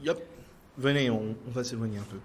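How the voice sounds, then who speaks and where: A man's voice: mid-range voice, fairly quick, a little hiss or hum, natural voice. A man playing a flippant colleague on an investigation in a French audio drama, casual and wry with loose, hesitant phrasing. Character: flippant, chatty male investigation colleague cracking dark jokes.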